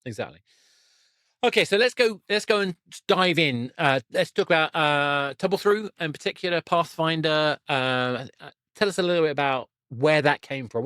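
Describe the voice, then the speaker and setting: A man's voice: a little high, lively intonation, normal speed, a bit bright, no room sound, clean studio sound. A man hosting a podcast, interviewing a guest in a casual, conversational style.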